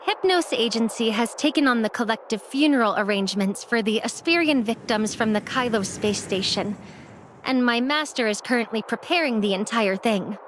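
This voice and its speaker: A woman voicing an earnest, devout young emissary in an anime game, with a dramatic, expressive delivery. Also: a little high, normal speed, slight background noise. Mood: explaining.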